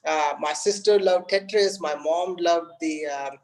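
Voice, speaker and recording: A man from India whose first language is Tamil, speaking English with an Indian accent. He is a guest in a casual conversation, and he talks haltingly with lots of restarts and fillers. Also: on the high side, darkish tone, poor audio. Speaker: guest game developer.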